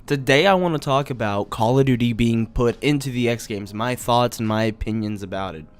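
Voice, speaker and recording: A man's voice: mid-range voice, a little fast, clean tone. A young man with a nasal, stuffy voice from allergies, giving a blunt, opinionated casual rant as a gaming vlogger. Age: young adult. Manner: blunt, opinionated, many "you know"s.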